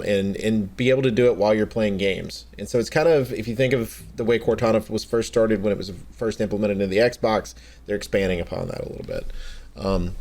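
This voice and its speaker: A man with a fairly low voice hosts a casual gaming news podcast. His delivery is unscripted and a little hesitant, wandering into asides as he explains things. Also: a little mellow. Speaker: podcast host.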